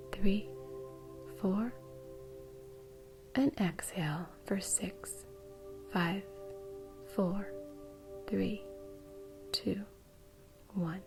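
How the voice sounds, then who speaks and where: A woman guiding a meditation in a soft, breathy, soothing way. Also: medium pitch. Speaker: meditation guide. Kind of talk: guided meditation.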